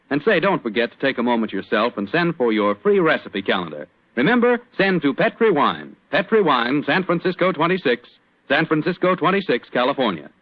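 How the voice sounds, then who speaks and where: Polished American radio announcer, muffled vintage recording. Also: male voice, medium pitch, dry recording. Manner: polished, salesmanlike, measured. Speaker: radio announcer and sponsor's pitchman. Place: radio studio.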